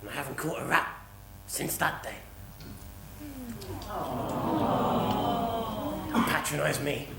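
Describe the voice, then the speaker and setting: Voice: mid-range voice, fairly quick, gravelly, heavy background noise, close to an everyday voice. An actor playing a washed-up, drunken old cat in a stage pantomime, rambling and melodramatic. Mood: maudlin. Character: washed-up, drunken, self-pitying old alley cat, former rat catcher. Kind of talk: stage pantomime comedy acting. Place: theatre stage before a live audience. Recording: echoey theatre stage recording with audience.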